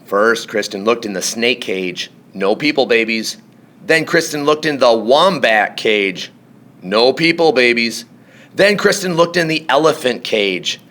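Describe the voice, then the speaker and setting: A man narrates a children's storybook with a bright, medium-pitched voice. He is very animated and theatrical, swinging up and down and stretching out key words. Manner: expressive, theatrical, with drawn-out words and emphatic sound effects. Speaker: storybook reader.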